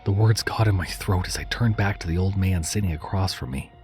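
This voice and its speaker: Low-voiced man narrating horror. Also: a little fast, a little mellow. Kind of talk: dramatic scripted horror narration. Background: background music.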